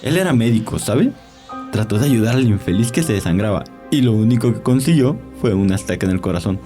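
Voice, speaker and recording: A man's voice: fairly low pitch, lively intonation, fairly quick, mellow, natural voice. A man playing a sly, arrogant old vampire doctor in an audio drama, telling his tales with theatrical relish. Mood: smug, amused. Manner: theatrical, leisurely, savoring storytelling. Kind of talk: audio drama character acting. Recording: music behind the voice.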